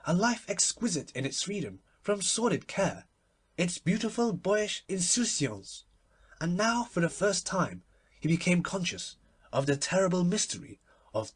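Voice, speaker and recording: A man reading literary fiction as an audiobook narrator, expressive and dramatic. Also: high voice, husky.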